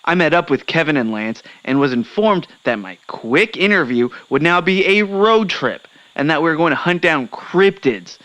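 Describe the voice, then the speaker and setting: A man's voice: a little high, lively intonation, moderate pace, hardly any pauses, a bit bright, no room sound, dull audio. A man playing an impatient, sarcastic young newspaper reporter and skeptic in a comedic audio drama. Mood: exasperated. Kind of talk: comedic audio drama acting as interview guest.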